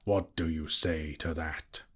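Man voicing a huge deep husky old dragon. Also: steady tone, fairly bright tone, heavily acted. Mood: smug. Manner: slow, gloating, grandiose. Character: huge old boastful dragon, proud and menacing. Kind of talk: audiobook character voice.

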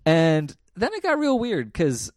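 A man's voice: high-pitched, huge pitch swings, unhurried, a little mellow, no room sound, studio quality. A man co-hosting a podcast, chatting casually and laughing as he rambles. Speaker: podcast co-host. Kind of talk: casual chat.

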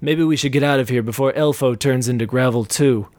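A man voicing a gruff female sword-carrying adventurer who talks curtly with a growling rasp. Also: medium pitch, a little fast, a little mellow, no echo, lightly acted.

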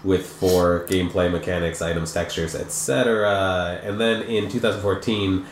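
A man in his thirties with a stuffy, froggy voice from a cold, chatting loosely and joking as a podcast co-host. His voice sits fairly low, and the room around him is echoey with a faint hiss of noise. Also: steady tone, normal speed. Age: 30s. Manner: rambling, jokey, many fillers. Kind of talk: casual chat.

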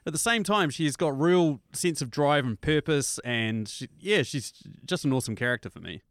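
A young man with a New Zealand accent in casual chat, rambling, animated and swearing freely. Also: on the high side, normal speed, dry recording, clean studio sound.

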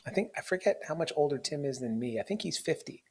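A man's voice: fairly high pitch, expressive, fast talker, husky. Rambling self-mocking man in his forties. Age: 40s. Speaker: podcast host. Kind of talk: casual interview chat.

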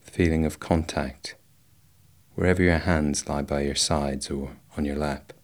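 Very deep-voiced male meditation guide. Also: fairly even intonation. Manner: slow, measured, instructional with long pauses. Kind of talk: guided body scan meditation.

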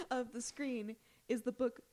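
A woman with a fairly high voice chats as a podcast co-host. She is informal and jokey, breaking easily into giggles as she banters through a recap. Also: extremely slow, dark tone.

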